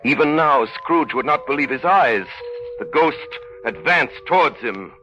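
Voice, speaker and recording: A man's voice: on the high side, expressive, measured pace, sharp tone. A man narrating an old radio drama in a grave, suspenseful, theatrical scripted style. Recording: muffled vintage radio recording. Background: music.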